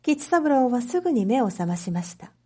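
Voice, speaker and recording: A woman reading a story aloud as a narrator, in a measured, clearly phrased storytelling manner for reading practice. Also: fairly high pitch, very animated, warm tone. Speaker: narrator, Japanese reading teacher.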